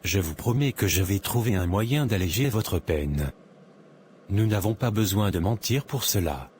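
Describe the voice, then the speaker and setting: A man's voice: on the low side, animated, unhurried, darkish tone, a little hiss or hum. A man voicing an earnest young cartoon lawyer. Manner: earnest, sincere. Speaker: narrator and protagonist. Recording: music playing behind.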